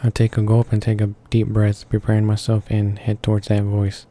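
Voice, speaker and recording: A man's voice: on the low side, completely flat delivery, a little fast, mellow. A man reading a visual novel's narration aloud, stumbling a little over the words. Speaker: video game let's player. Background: faint music.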